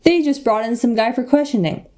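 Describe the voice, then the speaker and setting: Mellow woman playing a slangy slacker reporter. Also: on the high side, a little fast, natural voice. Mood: excited, laid-back. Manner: casual slang, easily impressed. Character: slangy, laid-back slacker crime reporter.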